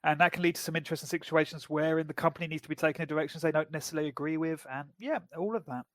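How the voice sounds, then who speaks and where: A man's voice: fairly high pitch, racing, dry recording, studio quality. A man co-hosting a podcast, casually discussing film and TV in a wordy, explanatory way. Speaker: podcast co-host.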